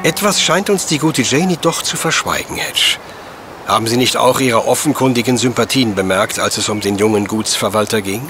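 An actor playing a courteous, coolly logical professor detective in a radio play, speaking in ornate, measured phrases. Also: male voice, medium pitch, over music, lightly acted. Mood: shrewd. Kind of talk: German radio play acting.

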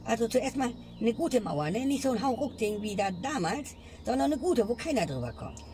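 A woman's voice: a little high, big pitch swings, moderate pace, warm tone, a little hiss or hum. A woman ranting in a comedy sketch and ending her lines with a questioning tag. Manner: grumbling, tags lines with "ne?". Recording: music bed behind voice. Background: music.

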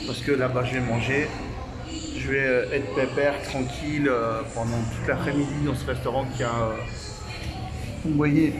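A man's voice: medium pitch, background noise. A man in his thirties vlogging casually to his phone camera at arm's length in a small restaurant, chatty and full of fillers. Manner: chatty, rambling, many fillers. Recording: phone video with crowd chatter.

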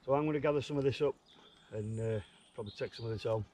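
A man's voice: medium pitch, dark tone. Englishman chatting casually over outdoor noise. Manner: unhurried, halting, repeats words as he goes. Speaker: outdoors vlogger. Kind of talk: casual rambling chat to camera. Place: outdoors in woodland. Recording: outdoor woodland ambience, clear background noise. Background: natural outdoor ambient noise.

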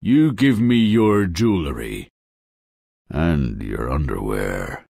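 A roguish huntsman in a video game, gravelly and husky. He takes his time over words, sly and menacing, with lecherous intent and few scruples. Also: extremely low pitch, animated, slow pace, warm tone, dry recording, studio quality, exaggerated voice. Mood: sly, bargaining. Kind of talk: video game character acting.

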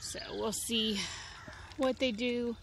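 Slow-talking woman on a garden tour. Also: fairly high pitch, steady tone. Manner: rambling, frequent ums, thinking aloud. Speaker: homesteader giving a garden tour. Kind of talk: casual garden tour vlog. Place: outdoor backyard garden. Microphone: handheld phone camera. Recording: noisy outdoor phone recording. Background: birds and outdoor nature sounds.

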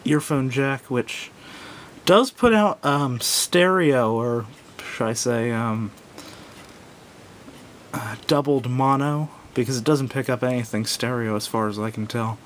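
Male reviewer, medium pitch, casual and unscripted, halting and self-correcting, home recording with slight rumbling noise. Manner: hesitant, rambling, self-correcting. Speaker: product reviewer. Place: indoors at a wooden table.

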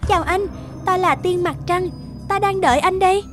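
A woman voicing a gentle, gracious young female moon fairy with a sweet voice in a children's cartoon fairy tale. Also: very high voice, normal speed, faint music behind, voice pushed up. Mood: gracious welcome.

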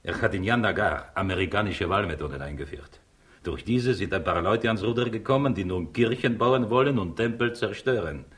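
An actor playing a cynical, greedy Soviet agent in a 1960s radio crime play, smug and lecturing. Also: male voice, lowish voice, a little fast, fairly bright tone, natural voice. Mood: cynical, calculating. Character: cynical, scheming Soviet agent, male, greedy and self-assured. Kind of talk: 1960s German-language radio crime drama acting.

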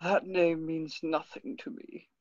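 A man voicing an ancient alien Sith master in a fan table read, raspy and creaky, mocking and menacing in manner, over a video call. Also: on the high side, level tone, no room sound, dull audio. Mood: cryptic, cold. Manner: slow, menacing, amused. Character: ancient spindly alien Sith master, seven thousand years old, mocking.

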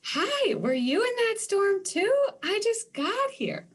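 A woman voicing a breezy, relaxed female raindrop, chirpily explaining her travels in a comic strip read-aloud. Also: very high-pitched, slow, heavily acted. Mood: friendly.

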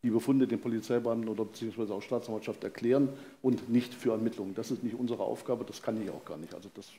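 A man's voice: fairly low pitch, fairly even intonation, fast, warm tone. Matter-of-fact older man over venue noise. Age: 60s. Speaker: forensic pathologist, guest. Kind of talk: interview answers on stage. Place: stage in a vaulted venue with live audience. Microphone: headset. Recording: clear ambient noise of a live venue.

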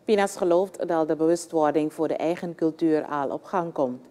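A woman's voice: low-pitched, moderate pace, warm tone. A woman narrating a scripted television news report.

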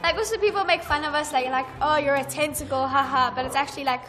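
A woman's voice: high voice, fast, bright, forceful tone, soft background music. A teenage girl, a student performer, chatting casually and filling her talk with "like". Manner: chatty, lots of "like". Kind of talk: casual interview.

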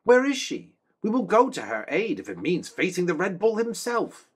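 A man reading aloud gives a loyal young man-at-arms from a fantasy novel. The voice is pitched well above his own, loud and husky. The soldier sounds fervent and ready to fight. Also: huge pitch swings, moderate pace, exaggerated voice.

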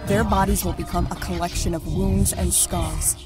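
A woman voicing a dying, vengeful sea minigod in a comic dub, pronouncing a curse with deliberate, ominous hatred. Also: lowish voice, steady tone, over loud music, lightly acted. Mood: hateful, ominous.

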